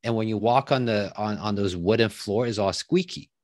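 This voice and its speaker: A man, telling his story as a podcast guest in a relaxed, chatty way with many fillers. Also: fairly low pitch, normal speed, no echo, studio quality. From Taiwan. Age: 30s. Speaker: podcast guest, real estate investor. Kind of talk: casual interview chat.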